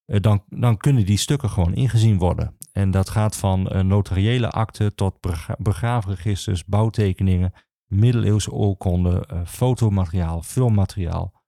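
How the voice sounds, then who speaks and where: Low, gravelly man, halting delivery. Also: mellow, no echo, clean studio sound. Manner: halting, hesitant phrasing with frequent pauses. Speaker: regional archivist, interview guest. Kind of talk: informal explanatory podcast interview.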